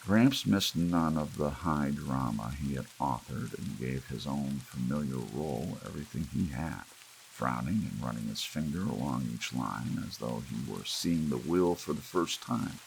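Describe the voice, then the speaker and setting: A man narrating an audiobook in a hushed ASMR bedtime style. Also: extremely low pitch, unhurried, creaky, rough recording. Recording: steady rain and hiss behind. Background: soft rain.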